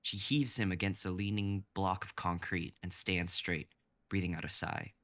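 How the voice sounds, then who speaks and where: A young man in his twenties reading stage directions as narrator of a live script reading, in an even manner. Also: deep voice, normal speed. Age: 20s.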